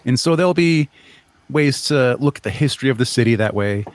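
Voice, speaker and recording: A man's voice: medium pitch, animated, a little fast, no echo. A man being interviewed as a creator, talking casually and explaining things in an earnest way. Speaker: guest, audio drama creator.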